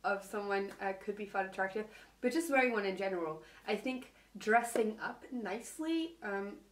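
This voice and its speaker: A woman with a low voice giving casual advice in a video, hesitant and full of fillers. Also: expressive, moderate pace. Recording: video replayed into a room mic, echoey and distant.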